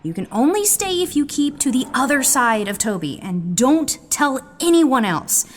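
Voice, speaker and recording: An actress playing a brisk, no-nonsense woman animal keeper in an audio drama, blunt and scolding. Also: high-pitched, big pitch swings, a little hiss or hum. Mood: territorial.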